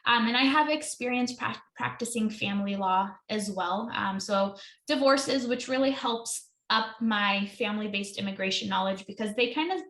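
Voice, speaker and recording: Woman lawyer running a webinar, bright voice, lots of ums, echoey room. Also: mid-range voice, normal speed. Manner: chatty, many ums, self-correcting. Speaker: immigration attorney hosting a webinar. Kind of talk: webinar presentation.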